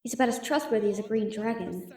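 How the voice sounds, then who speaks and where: A woman's voice: mid-range voice, fast talker, mellow, distant mic, lightly acted. A woman playing a sardonic, anxious captive merchant, muttering a sarcastic inner monologue in a fantasy audio drama. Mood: sardonic, wry. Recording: roomy, echoing, off-mic sound.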